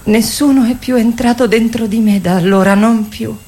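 Actress as high-voiced traumatised young captive. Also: female voice, steady tone, moderate pace, mellow, natural voice. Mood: sorrowful, longing. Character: wounded, traumatised young mother and captive, pleading. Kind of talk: theatrical radio play monologue.

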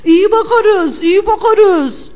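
Woman as high, exclaiming, gullible villagers. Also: slow pace. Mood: eager. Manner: loud, exclaiming. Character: excitable, gullible crowd of villagers. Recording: long hall-like reverb.

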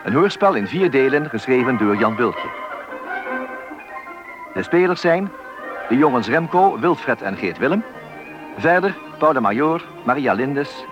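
A man reading out the credits of a radio play as a formal scripted announcement. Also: medium pitch. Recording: dull band-limited sound with loud music. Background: music.

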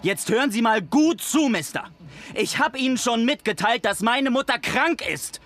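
Casting: combative lad of eighteen in a radio crime play, high-voiced, bright and tense, fiercely protective. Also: male voice, lively intonation, normal speed, exaggerated voice. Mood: defiant, angry. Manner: defiant, combative. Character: hot-tempered, protective eighteen-year-old son. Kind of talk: radio crime drama acting.

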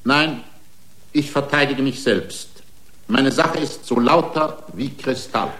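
An actor playing a proud, defiant racing driver on trial in a German radio drama, emphatic and combative. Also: male voice, mid-range voice, slow pace, fairly bright tone. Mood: indignant. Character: proud, defiant male racing-driver defendant, indignant braggart.

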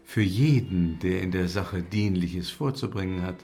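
A man's voice: low voice, measured pace, darkish tone, faint music behind. An actor playing an unsettling pious male lodger in a German radio play, with a formal, insinuating, theatrical manner. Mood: sly, probing.

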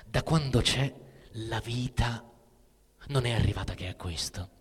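Husky, slow, bitter male narrator. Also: mid-range voice. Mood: weary, bitter. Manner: halting, repeating phrases, brooding. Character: bitter, disillusioned male narrator musing on human misery. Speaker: actor performing a literary text. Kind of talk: dramatic literary reading with music. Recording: reverberant hall with long echo.

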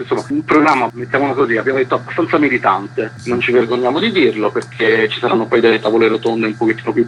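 A man's voice: lowish voice, fairly bright tone. A man speaking as a festival organiser in a spontaneous radio interview, wordy and earnest, repeating words for emphasis. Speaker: cultural festival organiser and activist guest. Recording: noisy line with electrical hum.

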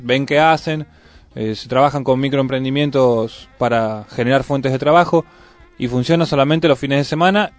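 A man's voice: medium pitch, no room sound. A man with a Buenos Aires Argentine accent giving casual local news commentary as a radio show commentator. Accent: Buenos Aires Argentine Spanish. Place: radio studio. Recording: music playing behind the voice. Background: music.

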